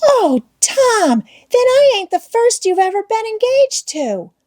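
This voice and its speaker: Woman as a shy little schoolgirl, high and swooping. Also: very animated, a little slow, warm tone, slightly rough, heavily acted. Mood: hurt. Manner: timid, hesitant, childlike. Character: shy, sweet, hesitant little schoolgirl, easily hurt. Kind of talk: audiobook character voice.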